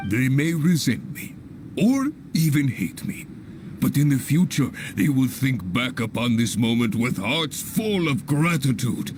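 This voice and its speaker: An actor playing a hearty, pompous, long-winded leader of adventurers in an anime-style video game, speaking in grand theatrical speeches. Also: male voice, mid-range voice, a little hiss or hum. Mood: solemn, dramatic.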